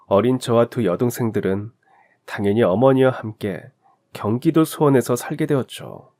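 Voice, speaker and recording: Expressive male story narrator. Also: mid-range voice.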